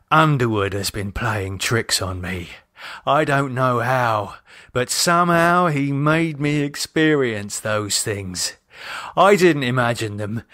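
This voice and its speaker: Guy reading as a rattled tired bloke in a horror audiobook, slow halting talk, sweary and sarcastic, very dry sound. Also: mid-range voice, bright, forceful tone, no room sound, voice pushed up. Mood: bitter, angry. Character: shaken, exhausted man, sarcastic and fearful.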